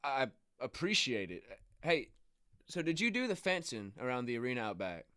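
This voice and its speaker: An actor playing a weary, guarded rodeo rider, in halting, plain-spoken radio drama style. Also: male voice, mid-range voice, sing-song, sharp tone, clear, resonant tone, no room sound, clean studio sound. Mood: subdued. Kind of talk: naturalistic radio drama acting.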